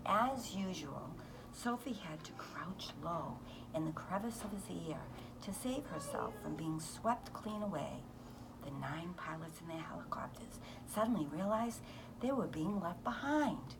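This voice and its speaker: A woman reading a children's novel aloud in an expressive, animated storytelling manner. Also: mid-range voice, creaky, noisy background.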